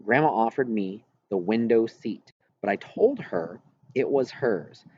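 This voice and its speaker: A man's voice: on the low side, expressive, unhurried, a little mellow. A man reading a first-person story aloud as scripted audiobook narration. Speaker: audiobook reader. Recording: muffled, clearly noisy home recording.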